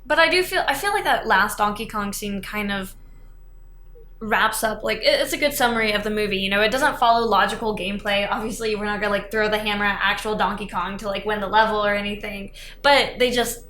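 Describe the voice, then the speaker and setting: Female podcast co-host, bright forward tone, conversational, echoey room. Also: medium pitch, a little fast. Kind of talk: casual chat. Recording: roomy echo, faint background noise.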